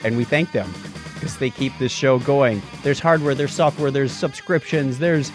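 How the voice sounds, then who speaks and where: A man's voice: medium pitch, normal speed, music in the background. A man co-hosting a casual podcast, talking in a jokey, teasing way. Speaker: podcast co-host. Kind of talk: casual podcast chat with patron thank-yous.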